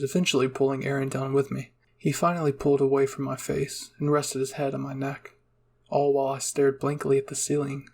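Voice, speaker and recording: A man narrating first-person fan fiction as a roleplay reading, in a soft, dramatic storytelling manner. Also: medium pitch, gravelly, slight background noise.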